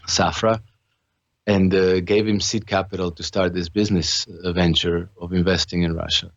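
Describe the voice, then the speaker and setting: A man's voice: deep voice, dry recording, dull audio. A man from Croatia, a podcast guest who trades and writes, talking earnestly in a conversational interview with frequent restarts and fillers.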